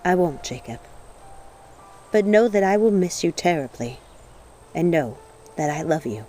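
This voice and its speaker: A woman's voice: lowish voice, animated, a little slow, mellow, faint music behind. A woman voicing a desperate, grieving young witch in a storybook, pleading with emotion. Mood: sad, tender.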